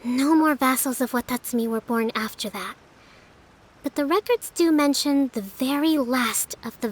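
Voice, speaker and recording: A woman voicing a composed, gracious young priestess leader in a video game, speaking in a measured, formal, explanatory way. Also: high voice, poor audio. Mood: grave, recounting. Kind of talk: dramatic video game acting.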